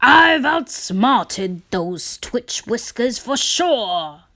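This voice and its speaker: A woman's voice: a little high, very animated, extremely slow, pushed and bright, pitched above the performer's own voice, full character voice. A woman voicing a stubborn, boastful man gardener from a storybook, huffing and exclaiming as he gloats. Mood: boastful triumph.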